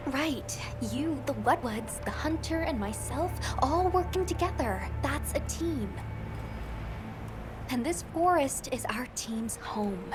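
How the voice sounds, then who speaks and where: Young female expedition member for a game: fairly high, earnest, polite, loud music behind. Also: animated, takes it slowly. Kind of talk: video game character acting.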